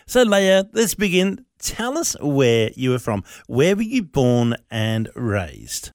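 A man's voice: medium pitch, wildly swooping pitch, takes it slowly, darkish tone, dry recording, studio quality. A man hosting a radio interview in a chatty, friendly way. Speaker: radio show producer and stand-in host.

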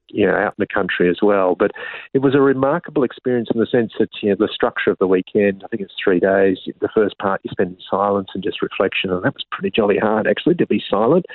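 A man's voice: on the low side, no room sound. A man in his fifties with an Australian accent, a school principal chatting as an interview guest in a friendly, rambling way with many restarts. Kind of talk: casual interview talk. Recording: tinny phone-like line, dry.

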